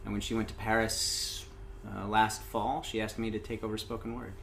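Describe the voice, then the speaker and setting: A man from Indiana, a guest talking casually about his life, unhurried and dotted with ums. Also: lowish voice, creaky, slight background noise. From Indiana, USA. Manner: relaxed storytelling with frequent "um" and "uh". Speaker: interview guest, open mic host. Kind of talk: casual interview.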